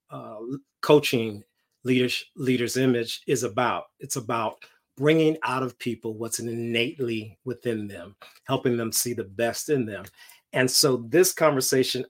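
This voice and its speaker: A man hosting a video podcast interview, talking casually and laughing often. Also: medium pitch. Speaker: podcast host.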